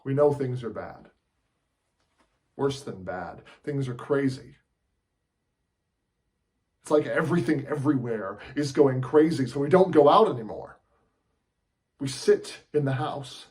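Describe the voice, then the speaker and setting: A man's voice: medium pitch, expressive, unhurried, long pauses, darkish tone, lightly acted. Man acting an impassioned, fed-up TV newsman. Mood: grave, weary. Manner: impassioned, urgent, oratorical. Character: fed-up male TV newsman delivering an impassioned rant. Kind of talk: dramatic film monologue.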